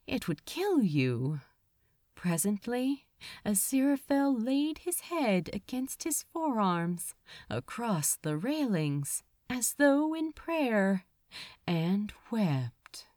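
A woman narrating a story from a script, with a dramatic, measured delivery and deliberate pauses. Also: medium pitch, darkish tone, dry recording, studio quality. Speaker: audiobook reader of fan fiction.

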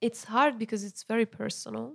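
Gravelly-voiced Italian woman musician. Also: on the high side, fairly even intonation, fast talker. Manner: chatty, many fillers and soft laughs. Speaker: musician guest. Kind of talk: casual interview chat.

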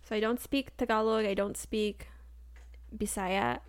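A woman's voice: on the high side, steady tone, a little slow, dry recording. Young American woman, casual co-host, rambling filler-heavy delivery, dry close mic. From United States. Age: young adult. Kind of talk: casual chat.

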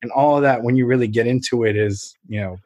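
A man in his thirties, a podcast guest, talking casually in an articulate, steady, explanatory way. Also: medium pitch, fast talker. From Ukraine, raised in the United States from age five. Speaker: podcast guest, marketing agency owner.

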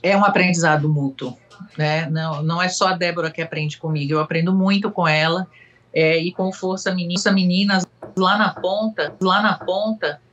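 A middle-aged woman with a Bahian accent, speaking as a mentor in a panel conversation in a measured, reflective way with frequent hesitations. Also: lowish voice, steady tone, fairly bright tone, dull audio. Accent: Bahian Portuguese. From Salvador, Brazil. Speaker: mentor and guest.

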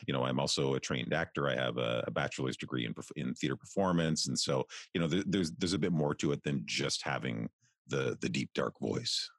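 A Canadian man who works as a voice actor, talking casually in an interview with a deep, resonant voice. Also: brisk, dry recording, studio quality. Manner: relaxed, chatty, with frequent restarts. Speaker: voice actor guest.

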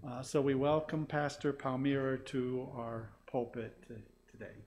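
A man's voice: mid-range voice, steady tone, a little slow, darkish tone. A man introducing a guest speaker in church, rambling with many fillers. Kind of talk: casual introduction.

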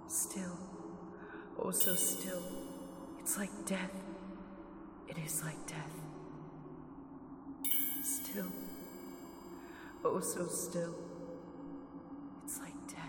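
A woman playing a young woman under hypnosis, speaking in slow, dreamy murmurs and whispers in a radio drama. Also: fairly low pitch, steady tone, over loud music. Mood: entranced. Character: young woman in a hypnotic trance, linked to a vampire. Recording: echoey reverberant room with clear noise.

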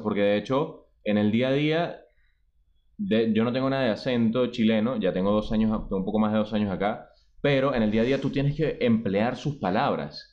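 Venezuelan podcast co-host, wry and reflective, muffled recording. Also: male voice, lowish voice. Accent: Venezuelan Spanish. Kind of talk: casual chat.

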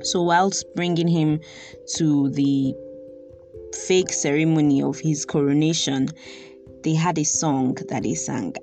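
Low, slow-spoken Nigerian woman. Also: a little mellow, soft background music. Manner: unhurried and thoughtful, with pauses to search for words. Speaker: storyteller. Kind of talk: reflective personal storytelling.

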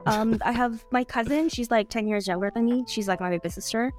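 A talkative woman guesting on a podcast, her voice sitting a little higher than most. She rambles loosely, stringing thoughts together with "like" at every turn, over faint music. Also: a little fast, a little mellow. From Canada. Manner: rambling, chatty, heavy use of "like". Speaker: guest author. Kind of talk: casual podcast chat.

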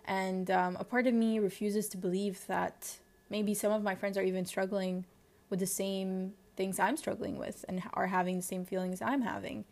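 A young woman in her twenties with a Lebanese accent, hosting a personal diary-style podcast and talking candidly and introspectively, often pausing to search for words. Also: medium pitch, fairly even intonation, vocal fry, darkish tone. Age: 20s. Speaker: podcast host.

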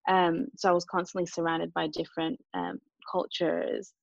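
A woman from Japan and Australia, a native Japanese speaker, talking earnestly and reflectively as an interview guest, in a calm, hesitant way. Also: on the low side, moderate pace, vocal fry, dry recording, highs cut off.

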